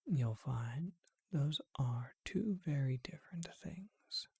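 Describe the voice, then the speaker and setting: A performer voicing a charming, courtly male vampire who keeps a bookshop, whispering slowly and deliberately in an ASMR roleplay. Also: mid-range voice, lively intonation, warm tone, husky, no room sound, clean studio sound, full character voice. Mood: courtly, inviting.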